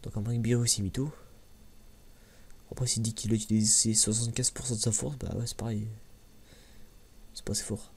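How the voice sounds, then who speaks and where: A man gives a casual, rambling ASMR-style commentary in a soft, hushed, hesitant voice, often repeating words. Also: medium pitch, little pitch movement, a little fast, pauses often. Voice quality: soft, hushed voice, sometimes near a whisper. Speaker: host of an anime review video. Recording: clearly audible background noise.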